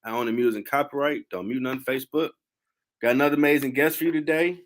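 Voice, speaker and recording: A man hosting a casual podcast interview, brisk and slangy in manner. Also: medium pitch. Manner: brisk, laid-back, slangy. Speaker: podcast host.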